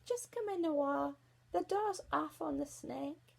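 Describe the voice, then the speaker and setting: Woman acting a frail high-voiced Scottish granny. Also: measured pace, gravelly, a little mellow, full character voice. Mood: weary, fond. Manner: weary, doting. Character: frail, kindly sick granny of sixty.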